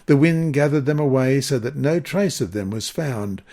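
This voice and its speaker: Man, medium pitch, scripted scripture reading, solemn, deliberate, measured phrasing. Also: animated, dark tone. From Queensland, Australia. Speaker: Bible lesson reader.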